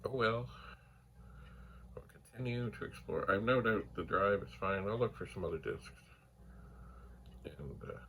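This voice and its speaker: A soft-spoken man casually thinking aloud while testing old computer gear in his home computer room, trailing into whispered asides. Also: fairly low pitch, lively intonation, measured pace, vocal fry, pauses often, slight background noise. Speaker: retro computer vlogger. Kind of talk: casual rambling narration while testing hardware. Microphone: phone mic.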